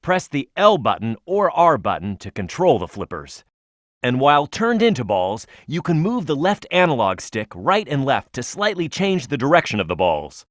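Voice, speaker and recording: A man's voice: mid-range voice, sing-song, normal speed, dry recording, studio quality, lightly acted. A man voicing a tough, hot-headed young echidna hero in a video game, speaking emphatically and with cocky confidence. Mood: instructive, confident. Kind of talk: video game character voice lines, tutorial hints and battle shouts.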